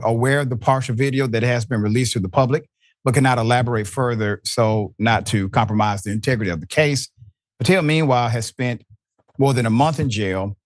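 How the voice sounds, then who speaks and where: A man's voice: medium pitch, no room sound. A man hosting a news commentary show, reading reports and then arguing his view with rising emphasis. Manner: emphatic, rising to stress points. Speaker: host.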